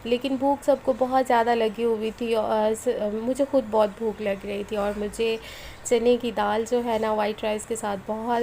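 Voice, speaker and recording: A woman's voice: fairly high pitch, dark tone. Chatty woman with a sore throat. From Pakistan. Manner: chatty, homely, addresses viewers directly. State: sore, strained throat from flu. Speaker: cooking vlogger. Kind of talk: casual cooking voice-over. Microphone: voice-over recorded at home. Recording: faint background noise at home. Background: street and household noise.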